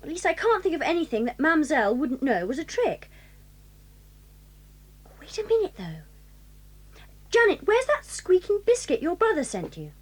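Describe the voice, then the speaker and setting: An actress playing a mischievous English boarding-school girl, a ringleader with eager manner and crisp diction, in a children's audio drama. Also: high voice, very animated, normal speed, long pauses. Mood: scheming.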